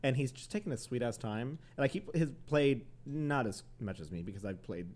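Lively, laughing male podcast co-host. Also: mid-range voice, a little fast. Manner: laughs often, rambling with many fillers. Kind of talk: casual chat.

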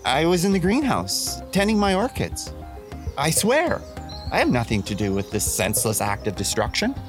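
A man's voice: on the high side, wildly swooping pitch, normal speed, over music. A man playing an indignant, defensive garden owner who pleads dramatically in a comic radio mystery. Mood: defensive, wounded.

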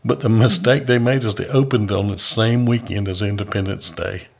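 A man's voice: on the low side, moderate pace, creaky. A man who does stand-up comedy, hosting a casual podcast chat with dry jokes. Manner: joking, dry wisecracks.

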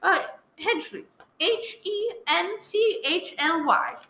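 A woman's voice: very high-pitched, takes it slowly, bright, forceful tone, exaggerated voice. A woman playing a panicky, demanding invalid wife in a radio play, stammering and pleading. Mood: impatient, nervous. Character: frantic, demanding, self-pitying invalid wife alone at night. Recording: roomy echoing live recording.

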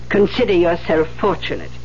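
A stern older Mother Superior in a vintage radio drama. Her voice is low for a woman, with a dry, wry authority. The old recording is dull. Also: big pitch swings, moderate pace, slight background noise. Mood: dry, businesslike. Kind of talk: 1970s radio drama acting.

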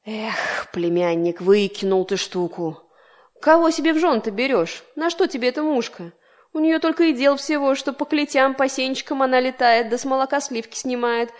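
A pompous old horsefly uncle scolds in a loud, raised voice, as a woman puts him on for a storybook tale. He grumbles, nags and talks down to everyone. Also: sits high, very animated, measured pace, hardly any pauses, voice pushed up. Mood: scolding.

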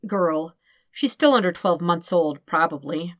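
A woman's voice: lowish voice, animated, unhurried, no echo, clean studio sound. A woman voicing a casual, blunt, long-suffering man with a wry, offhand manner in an audiobook story. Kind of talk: acted dialogue in fan fiction audiobook.

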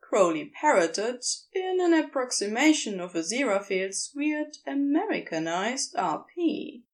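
A woman reading scripted fiction as a narrator, in an expressive storytelling style. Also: on the high side, a little slow. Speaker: podfic narrator.

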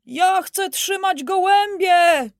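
A woman voicing a crowd of excited shouting children. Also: high voice, measured pace, dry recording, studio quality, voice pushed up, heavily acted. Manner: excited, demanding calls.

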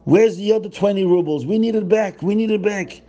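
A man doing a shrill angry crowd. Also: a little fast, warm tone, lo-fi sound, close to an everyday voice. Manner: shrill, demanding. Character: angry accusing village crowd.

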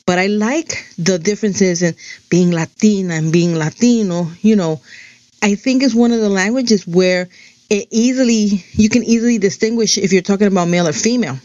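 A woman's voice: mid-range voice, normal speed, darkish tone, dry recording, dull audio. A middle-aged woman from New Jersey, whose first language is Spanish, talks in a chatty, digressive personal monologue full of "um" and "you know". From New Jersey, USA.

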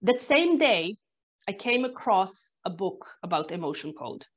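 A woman's voice: mid-range voice, very animated, unhurried, breathy edge. A Croatian woman with an accent, a non-native English speaker, telling personal stories in a reflective, earnest way as an energy therapist guest. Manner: reflective, earnest, with sighs and restarts. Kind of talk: personal storytelling in conversation. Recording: dull lo-fi sound.

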